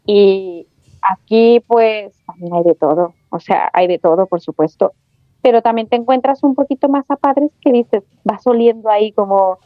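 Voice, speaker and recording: A Mexican woman guest talking in a chatty, animated way, with colloquial slang. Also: medium pitch, normal speed, no echo, lo-fi sound, soft background music. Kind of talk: casual podcast conversation.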